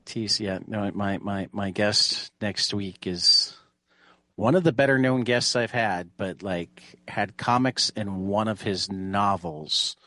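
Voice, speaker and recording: A man's voice: lowish voice, slow pace, sharp tone, no room sound. A man hosting a podcast, chatting casually with a group. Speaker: podcast host.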